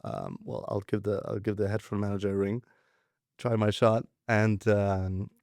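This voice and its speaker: A man in his twenties from Denmark, raised in southern France, telling his career story in a relaxed interview, with lots of fillers and restarts. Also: lowish voice, normal speed, gravelly, no echo, studio quality. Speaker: guest, venture capitalist.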